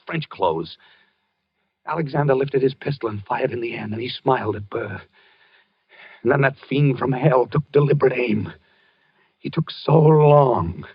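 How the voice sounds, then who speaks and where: An actor in an old-time radio drama playing a tormented, obsessive husband who talks haltingly and repeats himself. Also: male voice, medium pitch, husky, dry recording. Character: tormented husband haunted by dreams of a duel. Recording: muffled vintage radio recording, dry.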